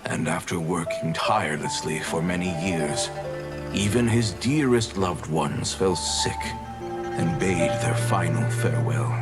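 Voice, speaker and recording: Slow, solemn legend storyteller. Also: male voice, sits low. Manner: grave, deliberate. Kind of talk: scripted legend narration in a game. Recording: narration over clear background music.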